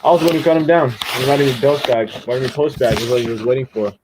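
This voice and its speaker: Man playing quick, folksy village postman, noisy recording. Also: mid-range voice, fairly even intonation, lightly acted. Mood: somber, chatty. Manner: folksy, repeats himself. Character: Gilly, kindly, talkative male village postman. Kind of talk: radio drama acting.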